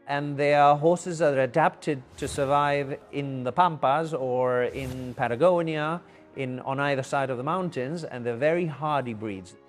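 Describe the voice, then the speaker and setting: A middle-aged man from Argentina speaking as a veterinary clinician in a measured, deliberate interview style. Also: mid-range voice, soft background music. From Buenos Aires, Argentina. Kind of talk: explanatory interview for a TV feature.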